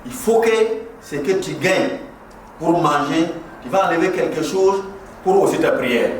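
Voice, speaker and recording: A man's voice: on the high side, expressive, a little slow, slight background noise. Impassioned man preaching in an echoing hall. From Burkina Faso. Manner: impassioned, preaching with rhetorical repetition. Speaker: guest speaker at a mosque inauguration. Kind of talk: exhortative ceremonial speech. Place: large echoing prayer hall. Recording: very echoey hall, voice far from the mic.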